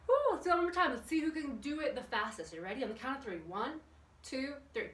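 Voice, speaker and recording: A woman's voice: high voice, expressive, quick pace, a bit bright. A woman instructing a children's exercise class in a chatty, encouraging way, putting questions to the viewers as she goes. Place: indoor room with windows open. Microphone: camera at a distance. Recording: echoey room, distant microphone, background noise.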